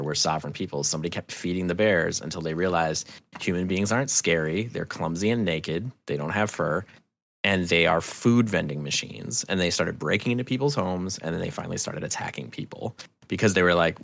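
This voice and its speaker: A man talking casually as a podcast guest, telling anecdotes in an informal, halting way. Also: low-pitched, creaky, a bit bright, dry recording. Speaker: podcast guest, audio drama creator. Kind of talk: casual conversational storytelling.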